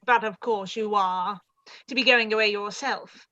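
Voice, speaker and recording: Brief: imperious aristocratic dowager, played by a woman, condescending, crisp and forceful, lo-fi mic. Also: medium pitch, steady tone. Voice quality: haughty, condescending, drawn-out. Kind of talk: live-read radio play.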